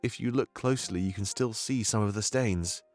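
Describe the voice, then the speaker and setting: A man's voice: fairly low pitch, normal speed, a little mellow, close to an everyday voice. An actor playing a grieving, self-reproaching male warrior in a visual novel, sombre and confiding. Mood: haunted. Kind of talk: dramatic visual novel acting. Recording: background music under the voice.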